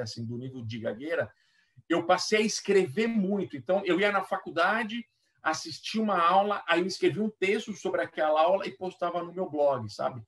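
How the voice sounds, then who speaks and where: A Brazilian man talking casually as an interview guest and author, in a halting manner that repeats words. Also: a little high, fairly bright tone. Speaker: guest author and play educator. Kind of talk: casual explanatory interview talk. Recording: voice somewhat far from mic.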